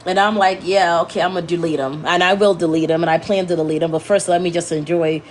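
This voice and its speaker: A woman's voice: fairly low pitch, fairly even intonation, fast talker. A congested, scratchy-throated woman hosting a casual livestream, rambling and correcting herself as she talks to viewers. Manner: meandering, self-correcting, talking to viewers. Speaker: livestream host.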